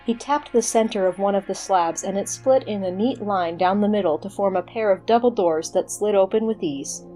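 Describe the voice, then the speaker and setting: A woman's voice: mid-range voice, normal speed, warm tone, faint music behind, low-quality recording. A woman working as a narrator, reading scripted material for an audio story production.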